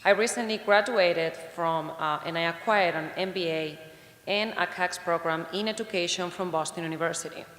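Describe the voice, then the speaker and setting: A woman's voice: lowish voice, moderate pace, a bit bright. A young woman from Spain, giving formal prepared testimony as a public commenter at a hearing. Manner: formal, reads from prepared remarks. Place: large public hearing hall. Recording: long hall reverb.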